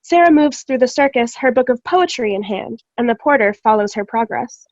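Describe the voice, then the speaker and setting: A woman's voice: on the high side, lively intonation, moderate pace, darkish tone, no echo. A woman reading stage directions as scripted narration for a play, recorded over a video call. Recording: dry, slightly dull video call audio.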